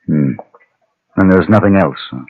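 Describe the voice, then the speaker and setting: An actor playing a calm, probing western gunman who mediates, measured and terse, in vintage radio drama. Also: low-pitched. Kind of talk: Golden Age radio western acting with first-person narration. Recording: dull vintage radio with background din.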